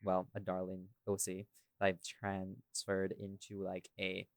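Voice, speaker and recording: A man's voice: low voice, monotone, unhurried, no echo, studio quality. A man hosting a casual behind-the-scenes podcast chat in a rambling, informal way. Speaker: podcast host and audio creator.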